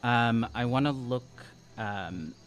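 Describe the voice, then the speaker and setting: A man's voice: mid-range voice, takes it slowly, faint music behind. A man in his thirties playing a tabletop game, talking casually and thinking aloud as he goes. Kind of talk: casual improvised game talk. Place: small indoor studio at a table. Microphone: close podcast microphone on stand.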